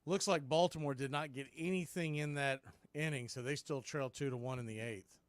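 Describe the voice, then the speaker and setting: A man's voice: mid-range voice, fairly even intonation, fairly quick, no echo. A man doing live baseball play-by-play commentary as the show's announcer, in terse, clipped calls.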